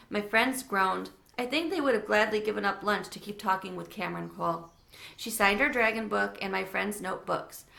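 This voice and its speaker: A woman's voice: medium pitch, gravelly, bright, forceful tone. A woman reading a children's storybook aloud as narrator. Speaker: read-aloud reader. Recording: echoey room.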